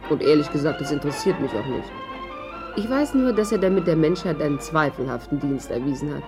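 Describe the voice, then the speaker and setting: A wry, sharp-tongued radio drama heroine. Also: female voice, deep voice, lively intonation, brisk, music in the background. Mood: wry, confiding. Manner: sardonic, dry. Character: sharp-tongued, wary actress, wryly telling her own story. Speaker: actress playing the lead and narrator. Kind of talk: 1950s German radio drama. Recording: vintage 1950s radio production.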